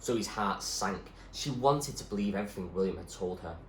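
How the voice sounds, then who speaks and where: A man reading a storybook aloud as narrator, in an expressive storytelling style. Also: low-pitched, moderate pace. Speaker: story reader. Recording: echoey room with clear background noise.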